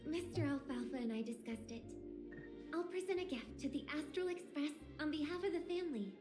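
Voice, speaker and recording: An actress voicing a poised young lady of high standing, speaking politely and formally in a video game. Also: animated, fairly quick. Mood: courteous, composed. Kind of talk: video game character dialogue. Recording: echoey game dialogue over prominent music.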